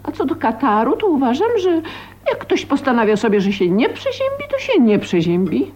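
Radio play matriarch: domineering, scolding, highish voice with very animated intonation. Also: female voice, normal speed, muffled recording, slight background noise. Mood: stern, mocking. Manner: stern, imperious, dismissive. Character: domineering, scolding middle-aged mother. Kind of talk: radio drama acting.

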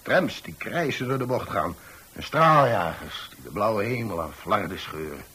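A man's voice: fairly low pitch, animated, normal speed, bright, forceful tone, breathy edge, heavily acted. An actor playing an agitated man railing in a radio drama, emphatic and declamatory, piling up one example after another. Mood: indignant. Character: agitated man railing against the noise of modern life.

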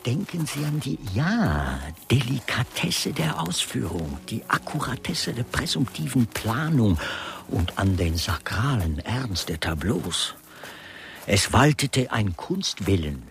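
An actor playing an elderly, gossipy, cynical aesthete in a crime radio play, speaking in a husky, breathy voice with affected relish. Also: male voice, mid-range voice, big pitch swings, slow pace, slight background noise, full character voice. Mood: pompous relish. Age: older. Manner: affected, musing, theatrically relishing words. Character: Meiser, elderly male honorary guest artist, gossipy, cynical aesthete. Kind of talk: German crime grotesque radio play dialogue.

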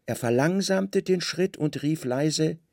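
A man narrating a literary radio play in a deliberate, dramatic way, with clipped phrases and pauses. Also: on the high side, creaky, a little mellow, a little hiss or hum.

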